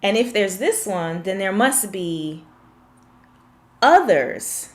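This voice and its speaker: A woman's voice: mid-range voice, sing-song, slow, reverberant. A woman confidence coach in a one-on-one session, explaining with examples and asking probing questions.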